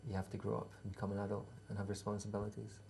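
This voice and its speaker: A man's voice: on the low side, completely flat delivery, rapid-fire, gravelly, a little mellow, slight background noise. A Scottish man in his thirties, a musician answering interview questions in a laid-back, hesitant way with dry humour. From Scotland. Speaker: musician guest.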